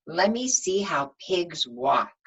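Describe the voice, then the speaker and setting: A woman's voice: fairly low pitch, sing-song, takes it slowly, slightly rough, muffled recording, full character voice. A woman reading a storybook and voicing an eager, imaginative young boy who calls out to farm animals in a singsong way. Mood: eager, calling out. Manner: calling out brightly, singsong address.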